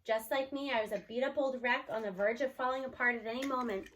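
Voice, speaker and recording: A woman reading a children's novel aloud in an expressive storytelling style, as narrator. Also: fairly high pitch, fast, slight background noise.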